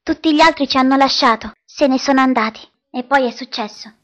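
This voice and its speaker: A woman plays a young girl with a high voice in a dubbed film drama. She is stubborn and insistent, an older sister who states things flatly and likes to needle. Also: brisk, lo-fi sound. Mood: grave, insistent. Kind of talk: dubbed film acting in Italian fandub.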